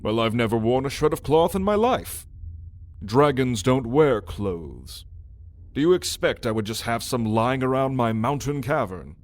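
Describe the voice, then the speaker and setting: Man as slow-talking, wry dragon turned human. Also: medium pitch, very animated. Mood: wry, playful. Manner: wry, theatrical, unhurried. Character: big old male dragon in new human form, playful, blunt. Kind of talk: ASMR roleplay storytelling.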